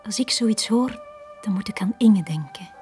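A Flemish actress playing a lonely, downtrodden young bar girl in a radio drama, speaking in a hushed, halting, confiding way. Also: mid-range voice, animated, soft background music, lightly acted. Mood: wistful, tender. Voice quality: breathy, hushed.

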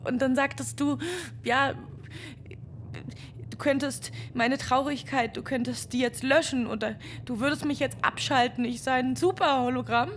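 A woman's voice: high-pitched, steady tone, normal speed, slight background noise, lightly acted. An actress playing a female astronaut on a lonely space mission, composed and focused in a radio drama. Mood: unsettled. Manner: focused and composed, sometimes shaken. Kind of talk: German radio drama acting.

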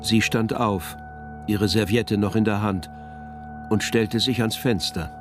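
Low-voiced man narrating a radio drama. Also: fairly even intonation, takes it slowly, music in the background.

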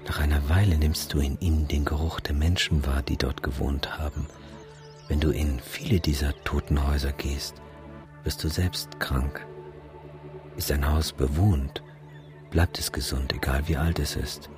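Brooding male wanderer for radio-play monologue; extremely low, pensive murmur, music underneath. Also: fairly even intonation, moderate pace. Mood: brooding, observant. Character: brooding male wanderer narrating his observations of deserted villages. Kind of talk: reflective radio drama monologue.

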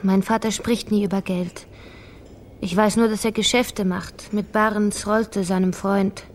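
A woman's voice: mid-range voice, steady tone, unhurried, lightly acted. Guarded, resolute woman, played by an actress, rain-like noise behind. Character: guarded, resolute daughter of a politician, seeking family truth. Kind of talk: German radio crime drama acting.

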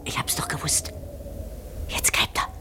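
A woman's voice: a little slow, in a whisper, lightly acted. An actress playing a suspicious older village woman in a German radio play, muttering conspiratorially.

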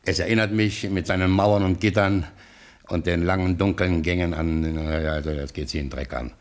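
A man's voice: low voice, fairly even intonation, brisk. An actor in a German radio play playing a ruined American gangster boss, anxious, blustering and self-pitying, stammering as he confides and pleads. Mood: gruff confiding.

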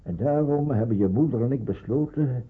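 A man's voice: mid-range voice, brisk, lightly acted. An actor playing a stern, worried middle-aged father in a radio drama, speaking firmly and emphatically. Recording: muffled, husky phone-like old radio recording.

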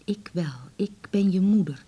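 A woman's voice: medium pitch, normal speed, warm tone, husky, natural voice. An actress playing an anxious, devoted middle-aged mother in a naturalistic radio play, with a hesitant, sighing, pleading way of talking. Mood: earnest, tender.

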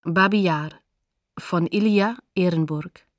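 A woman's voice: fairly low pitch, slow, dry recording, clean studio sound. A woman announcer reading sober, neutral source credits for a radio documentary drama in a factual manner.

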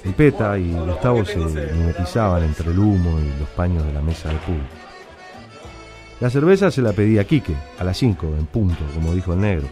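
An actor playing a pensive, guarded Argentine factory militant, telling his story in a measured, blunt way in a radio drama. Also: sits low, lively intonation, over music. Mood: reflective. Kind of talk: dramatic first-person radio narration.

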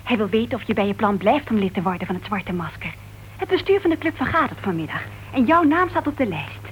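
Radio drama, dull sound with faint noise: woman acting patient, placating wife, apologetic yet gently scolding. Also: mid-range voice, expressive, fast talker, natural voice. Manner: soothing, apologetic, gently reproachful. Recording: dull, noisy old radio play recording.

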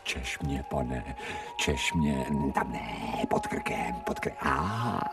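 A man's voice: rumbling low, expressive. A man playing a smug, gluttonous pet dog in a radio play, savouring his thoughts and murmuring with relish. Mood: blissful, fawning. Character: smug, gluttonous male stray dog turned pampered pet. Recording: distant-miked radio production with music.

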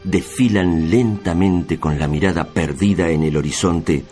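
A man narrating a radio drama in a solemn, measured, literary manner. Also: sits low. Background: music.

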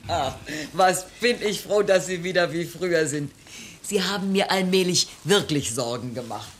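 An actress playing a caring, practical, fussing housekeeper in a German radio crime comedy. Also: female voice, lowish voice, moderate pace, slight background noise, natural voice. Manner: caring, matter-of-fact.

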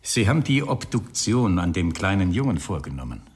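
A man's voice: deep voice, big pitch swings, fairly quick, breathy edge, full character voice. A man playing a terse, easily irritated police inspector in a German radio crime drama, asking clipped, businesslike questions.

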